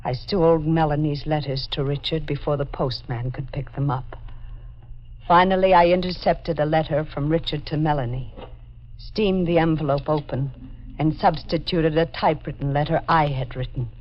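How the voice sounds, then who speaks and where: A woman's voice: low voice, fairly even intonation, normal speed, a little hiss or hum, lightly acted. An actress playing a bitter, haunted, jealous young woman in a melodramatic 1940s radio horror style. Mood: brooding, haunted. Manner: brooding, melodramatic first-person storytelling. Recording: dull vintage radio recording with faint music.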